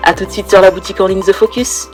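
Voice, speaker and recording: A woman reading a scripted promotional ad in a smooth, even, persuasive manner. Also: medium pitch, very fast, faint music behind. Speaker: ad voice-over.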